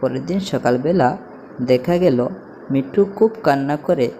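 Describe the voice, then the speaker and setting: Female storyteller, low pitch, unhurried scripted children's narration, clear background noise. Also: warm tone. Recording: noticeable background noise, ambience.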